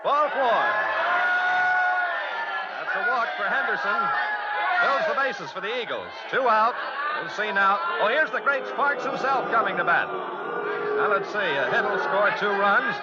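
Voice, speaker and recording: A man's voice: high voice, lively intonation, a little slow, pauses often. A man playing a folksy American baseball announcer in 1940s radio style, dramatic and chatty. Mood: excited. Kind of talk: 1940s radio play-by-play commentary. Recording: dull old radio recording, loud crowd noise.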